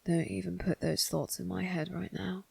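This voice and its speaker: A woman's voice: fairly low pitch, little pitch movement, normal speed, gravelly, darkish tone, breathy edge, heavily acted. A woman voicing a sly, teasing demon servant with slangy, informal speech in an ASMR roleplay. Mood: sinister, playful.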